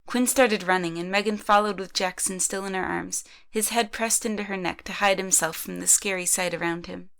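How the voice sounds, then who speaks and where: A woman's voice: medium pitch, fairly even intonation, normal speed, vocal fry, hardly any pauses, fairly bright tone. Woman narrating a story.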